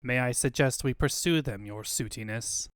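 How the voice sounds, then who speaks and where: A man's voice: medium pitch, moderate pace, smooth, dry recording, clean studio sound, natural voice. A man voicing a servile, sly henchman to a villain in a comic book fandub. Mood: fawning. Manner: obsequious, servile.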